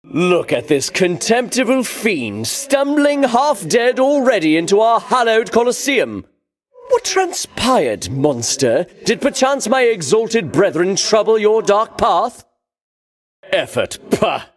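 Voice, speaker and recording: Casting: a boastful ancient Greek champion king in a game, high ringing voice, theatrical pomp, wildly swooping intonation. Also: very animated, slow pace, exaggerated voice. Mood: scornful, grandiose. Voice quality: ringing, declamatory. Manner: pompous, archaic grandiose diction. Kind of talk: theatrical video game voice acting.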